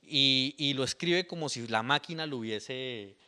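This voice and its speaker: A man's voice: medium pitch, measured pace, clear, resonant tone. A young man, talking loosely and with lots of restarts as he presents his poetry book at a bookshop event. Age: young adult. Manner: rambling, animated, with fillers and restarts. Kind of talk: casual talk at a book presentation.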